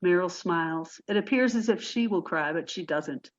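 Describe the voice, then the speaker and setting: Lowish-voiced woman narrating stage directions. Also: normal speed, highs cut off. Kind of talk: scripted narration.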